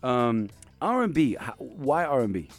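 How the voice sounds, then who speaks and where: A man's voice: medium pitch, big pitch swings, a little slow, faint music behind. A man hosting a casual radio interview, asking questions with encouraging remarks and frequent stammered restarts. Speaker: radio host.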